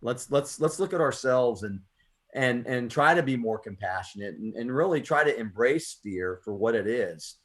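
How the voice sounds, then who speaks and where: A man's voice: mid-range voice, expressive, normal speed. Man, American accent, mid-range voice, earnest, repeats words while thinking, reflective radio chat. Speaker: poet and radio show host. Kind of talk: casual reflective conversation.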